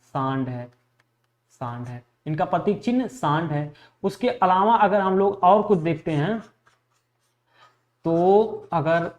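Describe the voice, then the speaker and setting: Male exam teacher, high-pitched, repetitive question-and-answer drilling in a lecture style. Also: darkish tone, dull audio. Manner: repetitive, asks questions and answers them himself. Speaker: history teacher for exam preparation. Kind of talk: classroom lecture drilling facts for exams.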